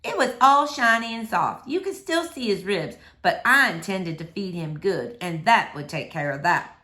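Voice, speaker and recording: A woman reading a storybook aloud to children with expressive narration. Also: mid-range voice, moderate pace. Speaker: read-aloud host for children.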